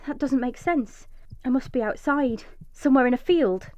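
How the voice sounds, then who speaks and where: An actress playing a desperate, vengeful mother trapped underground, narrating intensely in a breathy first-person radio drama monologue. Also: sits high, moderate pace, mellow, close to an everyday voice. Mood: tense, hushed. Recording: echoey, slightly dull recording.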